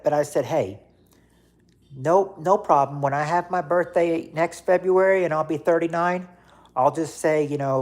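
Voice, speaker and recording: A man's voice: on the high side, darkish tone. Rambling man in his late thirties. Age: 30s. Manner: rambling, repeats himself with many fillers. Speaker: livestream host. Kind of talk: casual chat reading viewer comments.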